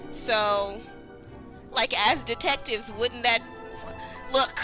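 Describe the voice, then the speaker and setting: A woman streaming a game in a bright, fairly high voice. She comments casually and talks back to the characters, with faint game music underneath. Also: slow pace. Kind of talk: casual reactive gameplay commentary.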